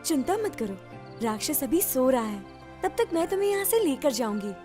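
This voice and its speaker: A woman voicing a kind magic seashell fairy in a children's cartoon, reassuring and gentle. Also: high-pitched, sing-song, moderate pace. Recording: faint music under the voice.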